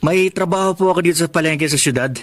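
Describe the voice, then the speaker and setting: A man's voice: on the high side, quick pace, no room sound, close to an everyday voice. A man playing a polite, hardworking young orphan with a Filipino accent in a Tagalog radio drama, earnest and deferential. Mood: earnest, warm.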